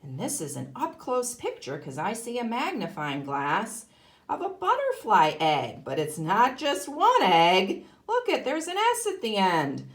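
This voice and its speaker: Woman, kindergarten teacher, hugely varied intonation, slow pace, simple emphatic explanations, read-aloud style. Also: fairly high pitch, huge pitch swings. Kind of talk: read-aloud lesson for young children, explaining and asking questions.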